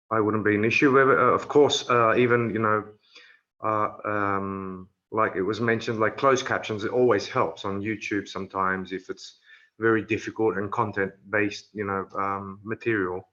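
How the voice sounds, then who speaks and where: A man with a fairly low but bright, tense voice speaks hesitantly in an online discussion. The call audio is dull and lo-fi. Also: level tone, a little slow. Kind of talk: casual discussion in an online meeting. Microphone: online video call.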